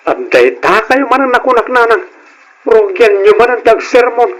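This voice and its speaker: A man's voice: very high voice, sing-song, moderate pace, fairly bright tone, heavily acted, rough recording. A male actor playing an affectionate, playful working husband in old-style radio drama, exclaiming with open emotion. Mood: defensive. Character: excitable hard-drinking working man, affectionate, playful husband.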